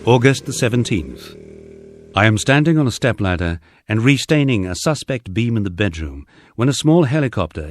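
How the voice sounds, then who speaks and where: A man's voice: on the low side, no room sound. A man reading a humorous memoir as scripted audiobook narration, with a wry storytelling manner.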